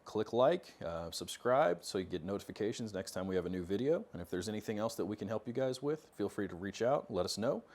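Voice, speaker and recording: Man, thirties, American accent, low voice, casual explainer style, tech video host. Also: lively intonation, fairly quick, few pauses, a little mellow. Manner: informal, explanatory. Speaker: host of a product tech talk series. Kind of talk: casual instructional presentation to camera. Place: indoor workshop studio with metal cabinets.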